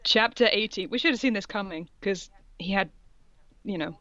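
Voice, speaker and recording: A woman's voice: medium pitch, moderate pace, bright, forceful tone, no echo, lo-fi sound. A woman reading aloud in a casual comic style, with sardonic, sweary asides and a theatrical touch. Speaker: co-host reading fan fiction aloud.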